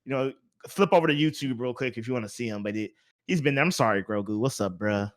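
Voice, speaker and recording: A man's voice: mid-range voice, fast talker. A man hosting a casual video game podcast, thinking aloud in a rambling way and repeating his words. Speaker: podcast host.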